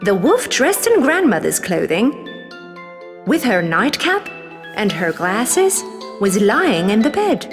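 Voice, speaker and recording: A woman's voice: medium pitch, big pitch swings, slow pace. A woman narrating a children's fairy tale as a scripted story. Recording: long reverb, clear music behind. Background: music.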